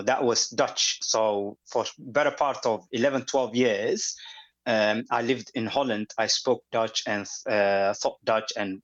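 A man's voice: medium pitch, fairly bright tone. A man from Somalia, with Somali as his first language, speaking as an interview guest in a hesitant way full of fillers and restarts. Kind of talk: conversational interview. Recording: dull lo-fi audio.

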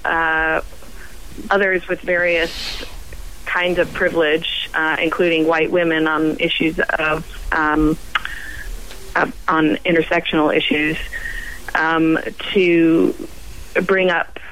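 A woman scientist guest on a radio roundtable, croaky from a cold, speaking haltingly with many pauses and restarts. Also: deep voice, flat delivery, a bit bright, slight background noise, rough recording.

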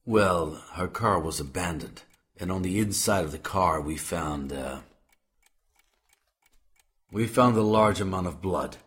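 A very deep-voiced sombre police detective. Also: male voice, a little slow, pauses often, fairly bright tone. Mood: sombre, hesitant. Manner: formal, careful, hesitant. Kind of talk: audio drama acting.

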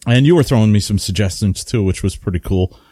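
A man's voice: fairly low pitch, fast talker, husky, no room sound, studio quality. A man co-hosting a hobby podcast, chatting casually and telling stories with lots of fillers. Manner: rambling, animated storytelling with many fillers. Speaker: podcast co-host. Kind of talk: casual chat.

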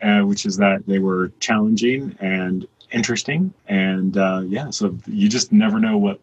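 A man's voice: on the low side, moderate pace, muffled recording, a little hiss or hum. A middle-aged man from the American Midwest with a Midwestern accent, telling stories in a rambling, thoughtful way as an interview guest. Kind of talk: casual interview.

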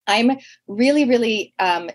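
A woman's voice: on the high side, animated, a little slow. A woman with a Canadian accent hosting a radio talk show, earnest and chatty, often restarting her sentences. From New Zealand. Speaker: radio host.

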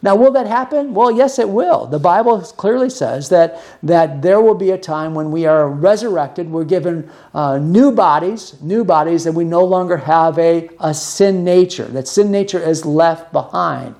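A man with a somewhat high voice delivers a sermon in a conversational way. He explains each point earnestly, often repeating a phrase to drive it home. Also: lively intonation, moderate pace, hardly any pauses, darkish tone. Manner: earnest, explanatory, uses repetition for emphasis. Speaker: preacher and podcast host.

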